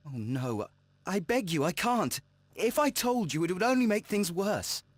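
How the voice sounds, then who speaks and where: High-pitched, panicky young murder suspect. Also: male voice, sing-song, measured pace, dry recording, heavily acted. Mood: pleading distress. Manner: stammering, pleading. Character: frightened young man, a murder suspect pleading innocence. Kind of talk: dramatic video game acting.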